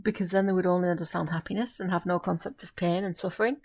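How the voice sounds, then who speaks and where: Measured, earnest witch mentor, played by a woman. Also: lowish voice, steady tone, darkish tone. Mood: solemn, explaining. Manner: measured, instructive, formal phrasing. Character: earnest witch mentoring a novice, grieving but insistent. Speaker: voice actor in an amateur audio drama. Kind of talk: audio drama acting.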